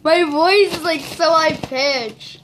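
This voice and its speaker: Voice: high-pitched, slow pace, fairly bright tone. A young vlogger chatting casually in a giggly, exclaiming, slangy way, recorded on a webcam mic in a small bedroom. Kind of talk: casual challenge vlog chat. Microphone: webcam or laptop mic at arm's length. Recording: noisy home recording with crinkling foil. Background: crinkling, crumpling foil balloon.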